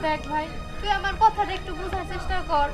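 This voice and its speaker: A woman's voice: very high-pitched, fast, over music. A woman voicing a poor, earnest young girl who pleads for her hungry brother in a Bengali cartoon. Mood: pleading, worried.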